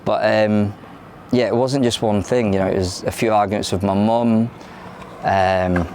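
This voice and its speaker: A man in his thirties with an English accent from London, born in Ireland, speaking frankly in a candid interview, often pausing and restarting his sentences. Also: lowish voice, fairly even intonation, a little mellow, slight background noise. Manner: frank and plain-spoken, with frequent hesitations and restarts. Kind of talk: candid personal testimony.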